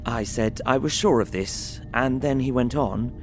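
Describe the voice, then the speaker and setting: An actor playing a polite, earnest young man, narrating his diary in measured, formal phrasing for an audio drama. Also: mid-range voice, lightly acted. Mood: measured, recounting. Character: Jonathan Harker, polite young Englishman, earnest diarist. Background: music.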